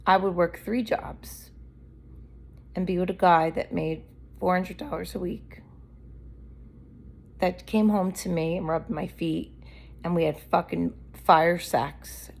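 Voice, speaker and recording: Woman vlogger, candid and rambling, slightly lower voice, a bit hoarse from allergies. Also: fairly even intonation, slow, long pauses, darkish tone. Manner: candid, blunt, rambling rant. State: losing her voice from allergies. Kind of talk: unscripted rambling monologue.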